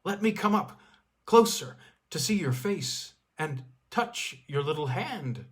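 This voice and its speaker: A man's voice: fairly high pitch, lively intonation, slow, pitched above the performer's own voice. A man playing an enraptured, pleading male climber who stammers his exclamations in a storybook reading.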